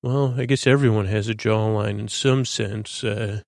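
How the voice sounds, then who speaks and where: Creaky-voiced man, rambling soothingly. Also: fairly low pitch, fairly even intonation, moderate pace, no room sound, studio quality. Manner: meandering, hesitant, often trailing into tangents; gentle, lulling, soothing. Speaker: host of a bedtime-story podcast. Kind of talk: rambling sleep-podcast monologue.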